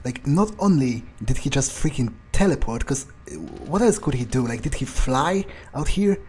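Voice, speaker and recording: Husky, haughty grumbling fledgling vampire played by a man. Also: medium pitch, lively intonation, a little mellow, faint music behind. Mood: suspicious, annoyed. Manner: grumbling, halting, self-important. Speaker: gamer roleplaying his character. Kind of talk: in-character let's play monologue.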